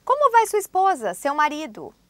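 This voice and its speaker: A woman with a high, lively voice narrates a language lesson from a script. She prompts each phrase clearly and at an even pace. The studio sound is dry and close. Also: moderate pace, no echo. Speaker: language course presenter.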